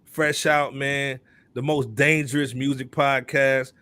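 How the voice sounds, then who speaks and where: A man's voice: mid-range voice, flat delivery, takes it slowly, sharp tone, no echo. A middle-aged man with an American accent, hosting a casual hip hop podcast in loose slang and repeating phrases for emphasis. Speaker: podcast host.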